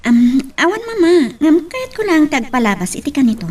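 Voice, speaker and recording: A woman's voice: high voice, big pitch swings, normal speed, a little mellow, recorded from a distance. A woman playing a sweet, troubled young woman in love, tender and affectionate, in an Ilocano radio drama.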